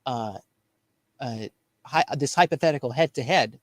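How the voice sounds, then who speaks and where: A man's voice: medium pitch, normal speed, no echo. A man co-hosting a show with earnest, emphatic political commentary, often repeating phrases for emphasis. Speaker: show co-host. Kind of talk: political commentary in casual chat.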